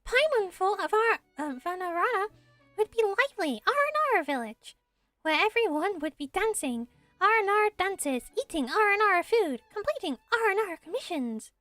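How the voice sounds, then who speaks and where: Very high-pitched little fairy sidekick. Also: female voice, very animated, normal speed, bright, forceful tone, no room sound, soft background music, exaggerated voice. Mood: chatty, wondering. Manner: refers to self in third person. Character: small excitable flying fairy companion, chatty and cheeky. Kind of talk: game character voice acting, fan dub.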